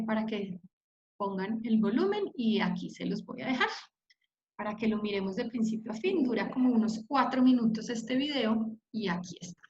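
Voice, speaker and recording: Echoey lo-fi webinar presenter, woman. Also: fairly high pitch. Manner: explanatory, checks in with the audience, some fillers. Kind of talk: live online training talk. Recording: echoey, dull lo-fi online audio.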